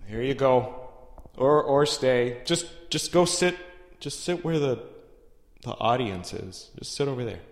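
A man playing a bossy, matter-of-fact judge of a makeshift court, talking casually and haltingly. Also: medium pitch, lively intonation. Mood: awkward. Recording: echoey hall.

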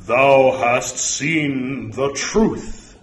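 A man's voice: mid-range voice, very slow, pitched above the performer's own voice. A man voicing a scheming sergeant who poses as a divine voice, with ominous, pompous, archaic speech in a story. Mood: solemn, leading.

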